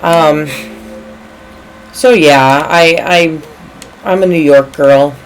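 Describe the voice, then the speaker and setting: A woman's voice: low voice, takes it slowly, slight background noise, poor audio. A woman from the Chicago area with a Chicago accent, chatting casually as she co-hosts a game stream. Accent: Chicago American. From Chicago area, United States. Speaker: co-host of a video game stream, English teacher. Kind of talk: casual chat while playing a game.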